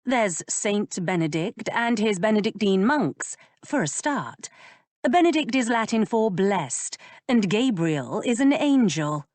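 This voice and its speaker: A woman voicing a quick-tempered, sharp-tongued young lady who speaks in a tart, exasperated way. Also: mid-range voice, expressive, no echo, pitched above the performer's own voice.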